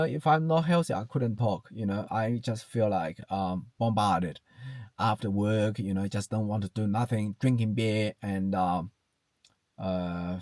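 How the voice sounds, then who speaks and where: A man in his late thirties from China speaks English with a Chinese accent. He talks to the camera in a searching, reflective way, full of ums, restarts and "you know". His medium-pitched voice is recorded close and dry, with no room sound. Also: darkish tone, dry recording. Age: 30s. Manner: hesitant, many fillers and restarts, repeats "you know". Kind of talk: reflective personal talk to camera.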